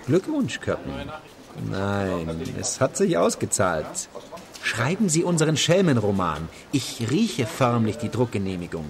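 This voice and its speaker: Theatrical old-school film star and hustler, declamatory, sardonic, radio play, busy crowd noise. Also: male voice, mid-range voice, huge pitch swings, a little slow. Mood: grandiose, sardonic. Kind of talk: German radio play dialogue. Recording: busy urban crowd behind the voice.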